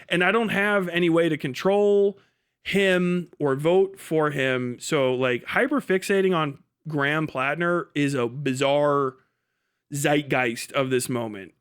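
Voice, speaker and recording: A man's voice: on the high side, a little slow, fairly bright tone, clear, resonant tone. A man giving casual, opinionated political commentary as a livestream host, blunt and full of swearing, rambling and restarting mid-thought.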